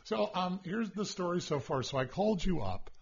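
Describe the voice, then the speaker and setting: A man hosting a casual radio chat show, talking loudly and rambling with stammered restarts and a husky voice. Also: high-pitched, lively intonation, normal speed. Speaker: radio host. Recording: dull lo-fi radio broadcast.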